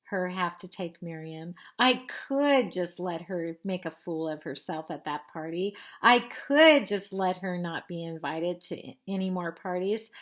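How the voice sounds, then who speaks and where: A woman reading a picture book aloud to children, narrating expressively and turning to listeners with asides and questions. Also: on the low side.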